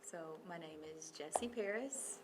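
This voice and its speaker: A woman from Kentucky who works as an instructor, answering interview questions in a thoughtful, measured way. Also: fairly low pitch, animated. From Kentucky, USA. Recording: noticeable background noise.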